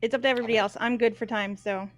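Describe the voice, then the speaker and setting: A woman chatting casually while playing a game with friends. She is excitable and sometimes confused. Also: a little high, racing, lo-fi sound, soft background music. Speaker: player in a group playthrough. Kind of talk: casual chat.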